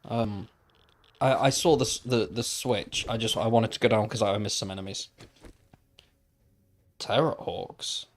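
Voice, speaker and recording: A man's voice: fairly low pitch, a little slow, long pauses, a little hiss or hum. Man musing aloud while gaming. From Wales. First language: Welsh. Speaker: gaming livestreamer. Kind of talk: casual chat while playing.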